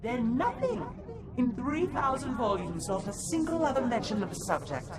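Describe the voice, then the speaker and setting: A sly, theatrical, scholarly Sith. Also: male voice, very high-pitched, sing-song, normal speed. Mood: puzzled, intent. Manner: articulate, theatrical. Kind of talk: video game cutscene dialogue. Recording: music behind the voice.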